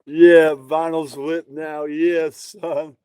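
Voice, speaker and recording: A man giving casual reaction commentary as a show host, with excitable, slangy interjections and imagined quotes. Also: fairly high pitch, very slow, clear, resonant tone, no room sound.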